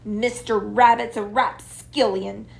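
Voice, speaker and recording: A woman voicing an excitable, fretful but kind little girl in a storybook, exclaiming dramatically. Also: fairly high pitch, takes it slowly, gravelly, exaggerated voice. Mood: indignant.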